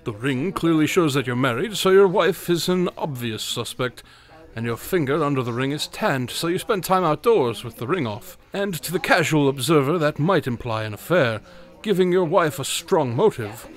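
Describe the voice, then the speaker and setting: An actor playing a confident young detective who reasons out loud quickly, in an audio drama. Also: male voice, on the high side, expressive, natural voice. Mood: lecturing. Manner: rapid, confident reasoning, a bit pompous. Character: deductive detective Spencer Holmes, brisk and self-assured.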